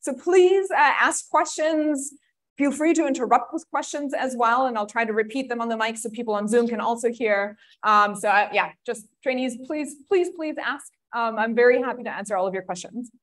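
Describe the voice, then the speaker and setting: A woman's voice: on the high side, fairly quick. A woman from Germany giving a friendly, chatty academic seminar talk with many ums. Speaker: guest lecturer.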